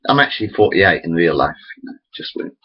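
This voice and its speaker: A man's voice: low-pitched, sing-song, fast talker, husky, lo-fi sound. A man in his forties rambling chattily to his webcam, interrupting himself often. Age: 40s. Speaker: vlogger. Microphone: built-in computer camera mic.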